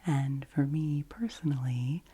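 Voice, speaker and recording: A woman hosting an ASMR channel, rambling in a deep, low, soft-spoken voice that keeps dropping into whispers, close to the microphone. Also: a little slow. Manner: alternates soft low speaking with breathy whispers.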